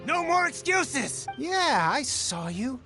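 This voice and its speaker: A man's voice: very high voice, very animated, slow, music in the background, full character voice. A man voicing a cocky, scheming small-time crook who wheedles and blusters, in an anime video game. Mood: blustering.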